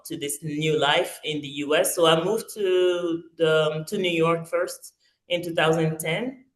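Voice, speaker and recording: A woman in her forties, originally from Congo by way of France, talks through her story as a guest. She pauses and restarts a lot, filling gaps with soft ums. Her voice carries a roomy echo. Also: deep voice. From Congo-Kinshasa, raised in France. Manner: hesitant, many fillers and restarts. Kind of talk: casual interview storytelling. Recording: echoey roomy sound.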